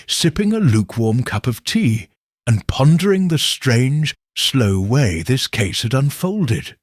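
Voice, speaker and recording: Male narrator for a mystery audiobook, husky, unhurried, expressive pitch. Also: no room sound, studio quality. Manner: slow, measured storytelling. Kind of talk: first-person scripted narration.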